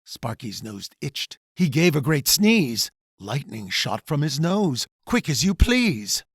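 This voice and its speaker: A man narrates a rhyming picture book as its author, dramatically and unhurriedly. His voice swings widely and has a husky grain, recorded close and dry. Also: on the high side, takes it slowly, dry recording. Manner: dramatic, expressive storytelling. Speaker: author reading own children's book.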